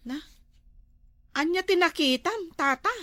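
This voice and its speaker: A woman's voice: extremely high pitch, animated, measured pace, bright, forceful tone, dry recording, full character voice. A woman with an Ilocano accent playing a jealous, suspicious, hot-headed wife in a comedy drama, scolding and exclaiming. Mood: puzzled.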